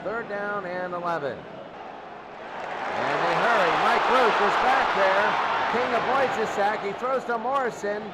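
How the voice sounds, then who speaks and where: High-pitched man calling football over crowd. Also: slow, heavy background noise. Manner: excitable, calls plays in short clipped phrases. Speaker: play-by-play sports announcer. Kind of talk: live American football commentary. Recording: loud crowd and music behind. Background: crowd and music.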